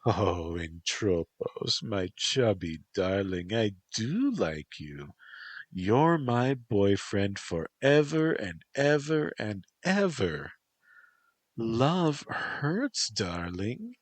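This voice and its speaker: A man's voice: fairly low pitch, very animated, very slow, dry recording, pitched above the performer's own voice, heavily acted. A man voicing a domineering, possessive young woman who swings from cooing to screaming.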